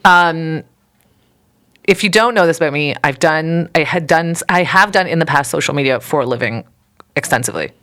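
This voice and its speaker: A woman's voice: sits low, normal speed, fairly bright tone. A woman co-hosting a casual podcast, chatty and opinionated, often laughing between thoughts. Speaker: podcast co-host. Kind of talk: casual chat.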